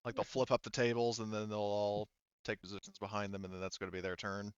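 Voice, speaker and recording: Smooth-voiced man as game master. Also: on the low side, level tone, fast talker, no echo, lo-fi sound. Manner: informal, swearing. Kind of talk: running a tabletop roleplaying game, casual narration and table talk.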